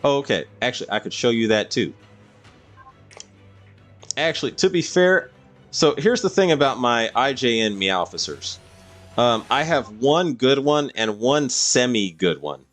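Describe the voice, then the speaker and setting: A man's voice: mid-range voice, lively intonation, unhurried, fairly bright tone, soft background music. A man doing casual live-stream game commentary, explaining things to viewers in a rambling way.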